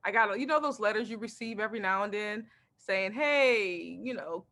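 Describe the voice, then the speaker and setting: A woman stand-up comedian from Texas, chatting in an interview and telling animated stories about her family while acting out quotes and laughing often. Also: medium pitch, normal speed. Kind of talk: casual interview chat.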